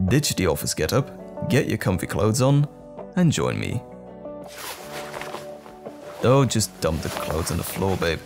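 Casting: male actor for devoted, comforting boyfriend in audio roleplay; low, gravelly edge, tender and playful. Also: big pitch swings, unhurried, pauses often, music in the background, full character voice. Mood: playful, affectionate. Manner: tender, reassuring, playful, uses pet names. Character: loving, attentive boyfriend comforting his troubled partner.

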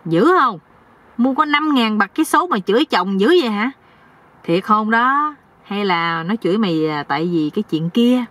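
A woman storyteller plays a nosy older village uncle in a comic tale. He is blunt and bossy, scolding and bragging like someone who knows everything, at a mid-range pitch. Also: lively intonation, moderate pace, fairly bright tone. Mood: nosy surprise. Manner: blunt, scolding, know-it-all.

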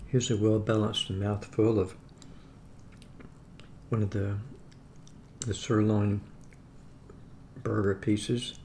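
A gravelly-voiced man in his seventies talks in an unhurried, wry way as he eats lunch at his dining table. His voice sits a little low, with faint background hiss in a home dining room. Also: flat delivery, a little mellow. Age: 70s. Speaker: vlogger. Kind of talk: casual talk while eating. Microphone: camera on table.

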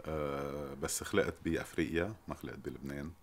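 A man in his fifties with a Lebanese Arabic accent, an ambassador answering in an interview in a measured, hesitant, formal manner. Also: extremely low pitch, steady tone. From Lebanon and Sierra Leone. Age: 50s. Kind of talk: interview, recounting his career. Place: indoors, residence sitting room.

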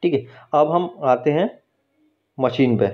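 A man's voice: fairly high pitch, slow pace. A man teaching welding in a workshop, explaining step by step and often checking in with his viewers. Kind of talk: hands-on technical explanation. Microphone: phone held while filming. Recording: dull lo-fi phone recording.

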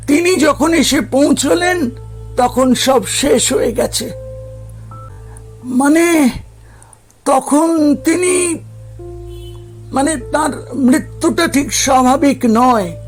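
A man voicing an elderly, kindly but evasive family lawyer in an audio drama, halting and measured. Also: very high voice, voice pushed up. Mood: agitated, halting. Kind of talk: Bengali audio story character acting.

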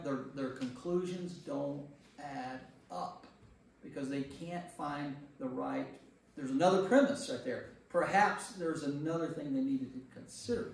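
A man leading a church Bible study as priest, teaching informally and checking in with rhetorical questions. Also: medium pitch. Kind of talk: informal teaching to a discussion group. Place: reverberant room at a church group meeting. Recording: very echoey room, distant mic, faint noise.

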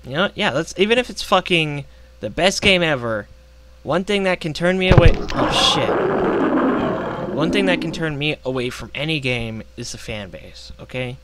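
Hoarse man ranting over gameplay. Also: fairly high pitch, animated, moderate pace, background noise. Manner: profane, indignant ranting. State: losing his voice. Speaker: gaming vlogger. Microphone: gaming headset.

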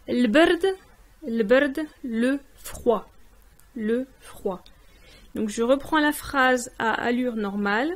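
A woman giving a language lesson in a voice-over, saying each word slowly, repeating it and translating it. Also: fairly high pitch. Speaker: language teacher. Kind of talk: slow spoken language lesson. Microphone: voice-over on text slides.

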